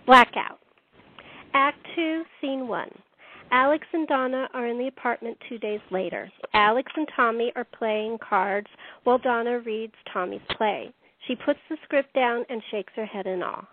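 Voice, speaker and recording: A woman's voice: on the high side, normal speed. A woman reading stage directions as the scripted narrator of a radio play. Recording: tinny phone-like sound with faint noise.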